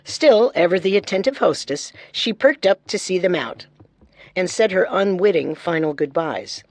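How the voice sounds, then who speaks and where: A woman's voice: fairly low pitch, expressive, moderate pace, a little mellow, no room sound. Woman narrating scripted true crime. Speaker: co-host and narrator.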